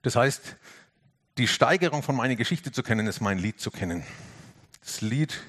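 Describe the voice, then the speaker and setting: A man giving a reflective sermon, earnest, rising to emphatic lifts and sinking to hushed, quiet passages. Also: medium pitch, expressive, normal speed. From Franconia, Germany.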